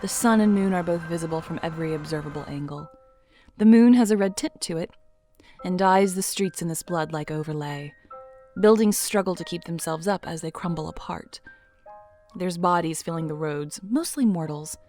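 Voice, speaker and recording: A woman narrating an audio drama in a measured, dramatic storytelling manner. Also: on the low side, warm tone, faint music behind.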